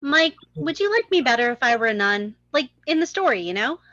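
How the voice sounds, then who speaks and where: A woman plays a young sweetheart with a high, very lively voice that swoops up on names. The sound is dry and slightly dull, like a lo-fi script reading. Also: fairly quick, no room sound, exaggerated voice. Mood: playful. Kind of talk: live table read of a film script.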